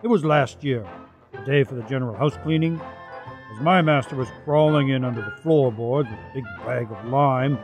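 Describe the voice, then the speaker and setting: A man voicing a boastful, grumbling rough tomcat who tells tales in blustering colloquial style for a radio story. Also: medium pitch, moderate pace, music in the background, close to an everyday voice. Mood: blustering, disgusted.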